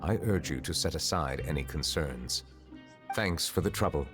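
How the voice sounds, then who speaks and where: A grave, formal high judge. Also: male voice. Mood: composed. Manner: formal, measured, courteous. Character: stately, reserved high judge, grave and polite. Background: game music.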